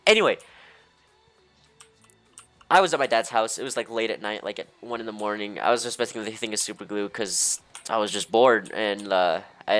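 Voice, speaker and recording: Rambling young man with a lowish voice. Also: fairly bright tone. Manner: hesitant, halting, easily distracted, heavy use of "like". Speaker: storyteller. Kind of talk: casual rambling storytime.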